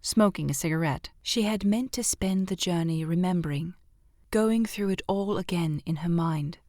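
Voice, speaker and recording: Casting: female audiobook narrator, lowish pitch, measured and expressive delivery, dry close sound. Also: no echo.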